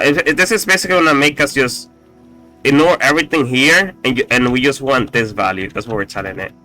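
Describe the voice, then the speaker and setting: Halting, bright-voiced man explaining code. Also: medium pitch. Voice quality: raspy. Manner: halting, full of fillers and restarts, profane asides. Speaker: coding tutorial host. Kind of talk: casual screen-recorded coding walkthrough.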